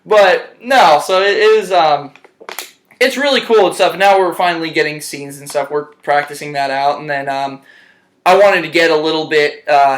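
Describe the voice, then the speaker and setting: Young guy lying in a hospital bed, kinda high voice, rambly chill talk with lots of "um" and "dude". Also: a bit bright. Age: young adult. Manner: laid-back, rambling, slangy with lots of fillers. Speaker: interviewee. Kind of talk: casual chat.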